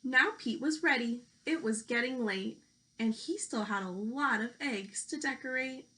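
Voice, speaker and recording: Lady teacher doing a storybook read-aloud for little kids, really slow, voice a bit high. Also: sharp tone, low-quality recording. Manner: slow, deliberate delivery addressed to young children. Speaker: teacher reading a picture book aloud.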